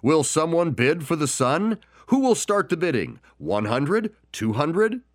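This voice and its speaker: A man's voice: fairly high pitch, very animated, unhurried, no room sound, studio quality, voice pushed up. Auctioneer, male, pushy and persistent, read by a man in a raised voice. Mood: insistent. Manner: calls out bids, insistent. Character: loud insistent male auctioneer.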